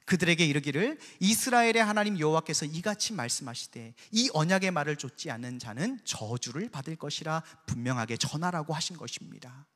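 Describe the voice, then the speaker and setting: A man's voice: on the high side, expressive, brisk, few pauses, a bit bright. A pastor from Korea's Jeolla region preaching a sermon with emphasis, putting rhetorical questions to his congregation. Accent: Seoul Korean, trying to hide his Jeolla dialect. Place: church sanctuary. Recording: long reverb of a church sanctuary.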